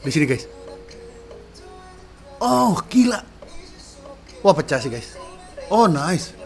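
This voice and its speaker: Excitable man reacting to music. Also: a little high, slow, gravelly. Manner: excitable, frequent slang exclamations. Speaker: sound engineer reacting to music. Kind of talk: casual reaction commentary. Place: small dim room at home. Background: faint music playing.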